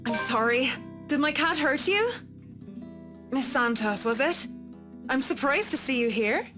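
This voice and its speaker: A woman's voice: sits high, animated, unhurried. A woman playing a composed, reserved professional in a visual novel, speaking formally and guardedly. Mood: apologetic, formal. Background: guitar music.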